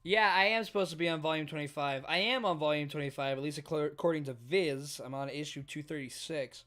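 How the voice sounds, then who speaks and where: A man's voice: fairly high pitch, a little fast, a bit bright. A man streaming a casual live read-through of manga, reacting and commenting as he goes. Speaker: streamer reading manga aloud.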